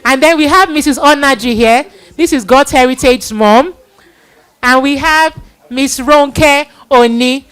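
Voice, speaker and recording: A woman's voice: high voice, a little slow, fairly bright tone. A middle-aged woman hosting a live children's Bible event, introducing and addressing people in an exhorting manner with repeated phrases.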